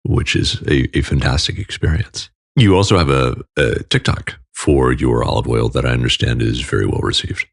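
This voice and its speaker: Very deep gravelly man, podcast host. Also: moderate pace, hardly any pauses, no room sound, clean studio sound. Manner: gravelly, very low voice. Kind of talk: interview questions.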